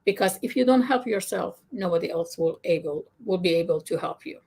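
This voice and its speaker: A woman's voice: on the low side, a little fast, darkish tone, husky. A middle-aged woman with a Persian accent, a native Farsi speaker, talking candidly as a guest author. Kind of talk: candid personal conversation.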